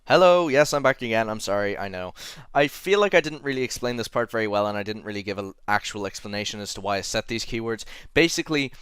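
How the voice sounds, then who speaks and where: A young man with a mixed English-Irish accent talks through a how-to tutorial in a loose, chatty way, correcting himself as he goes. His voice is smooth and clear, mid-pitched, recorded dry and close on a desk mic in a small home room. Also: animated, fast talker, fairly bright tone, no room sound. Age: young adult. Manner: chatty, self-correcting, apologetic asides. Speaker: tutorial host.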